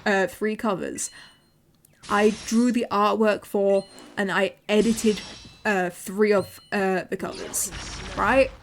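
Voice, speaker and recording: A woman's voice: mid-range voice, fairly even intonation, slow pace. English accent, young woman, casual streamer talk with repeats and fillers, light background noise. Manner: chatty, repeats words, many fillers. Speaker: VTuber streamer. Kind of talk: casual livestream chat.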